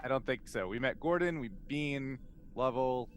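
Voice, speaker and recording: A man playing a tabletop game, chatting casually in a playful, halting way as he improvises. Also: medium pitch, a little hiss or hum.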